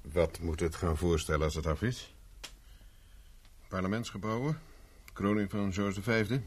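An actor playing a persistent private investigator in a 1970s radio drama, speaking dry and insistent. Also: male voice, low voice, a little slow, slightly rough. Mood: ironic. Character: dogged male private investigator, cool and persistent. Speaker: private detective Carver.

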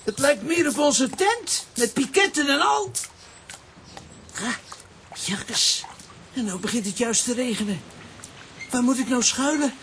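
An actress playing a small talking coffee pot, sweet but fussy and chiding, in a children's audio drama. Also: female voice, high voice, animated, measured pace, pauses often, a little hiss or hum. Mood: whining. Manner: chiding, affectionate, exclamatory.

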